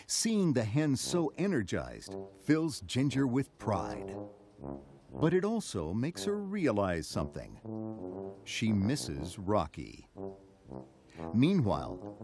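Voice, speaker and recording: A male narrator tells a children's story with dramatic, measured delivery. He speaks slowly with a very expressive range of pitch, and music plays clearly behind him. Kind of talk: scripted children's read-along story narration.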